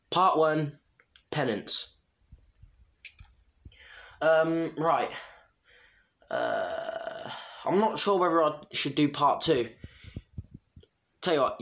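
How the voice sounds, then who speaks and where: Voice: mid-range voice, a bit bright. An amateur reader narrating a story and breaking into informal, self-deprecating asides. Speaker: amateur fan fiction reader.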